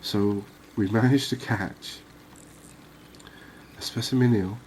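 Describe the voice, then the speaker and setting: A man giving relaxed, unhurried, musing ASMR-style gameplay commentary, chatting to his viewers. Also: lowish voice, a little hiss or hum. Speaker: host of a cozy fishing game channel.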